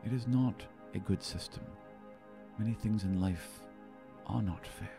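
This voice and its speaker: A man voicing a gentle, sorrowful father in a tabletop roleplay, speaking tenderly, solemnly and haltingly. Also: on the low side, creaky, music in the background, voice pushed down. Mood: grave, sad. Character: gentle, sorrowful elven father revealing a painful secret.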